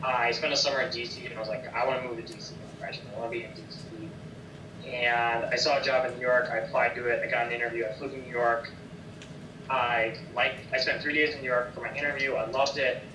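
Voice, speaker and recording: Young American male panelist, mid-pitched, informal storytelling advice, roomy sound with clear background noise. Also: level tone, fast. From Wisconsin, United States. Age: young adult. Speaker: panelist advising students. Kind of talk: informal advice and personal anecdote. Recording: echoey, distant, noisy background.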